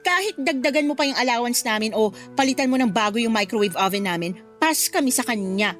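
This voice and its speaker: Radio drama, female: actress as sassy, complaining Filipina mistress, high-pitched, light music underneath. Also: lively intonation, fast, natural voice. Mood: indignant. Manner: sassy, exclamatory. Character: feisty, sassy young kept woman, quick to complain. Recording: music behind the voice.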